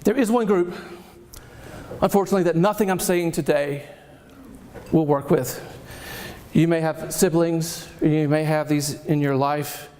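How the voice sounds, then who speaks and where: A man with a Southern US accent delivers a motivational keynote with lively energy. His voice sits notably high for a man, bright and bouncing as he jokes with the crowd. He speaks from a conference stage. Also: unhurried, pauses often. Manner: energetic, animated, joking with the audience.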